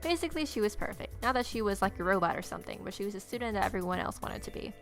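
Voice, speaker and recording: Quick-talking young woman reading a game. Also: mid-range voice, animated, fairly bright tone. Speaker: game player reading aloud. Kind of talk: read-aloud visual novel narration with casual asides. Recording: music playing behind.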